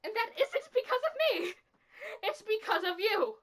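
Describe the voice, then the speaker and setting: A woman playing a bitter, remorseful revived killer in a dramatic monologue, speaking in a deliberate, accusing way. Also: very high-pitched, animated, fairly bright tone.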